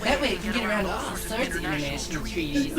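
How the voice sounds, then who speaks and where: A man voicing a nervous young male computer hacker in a comic dub over a game cutscene, with a quick, giggly delivery. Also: sits high, sing-song. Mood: eager. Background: game music.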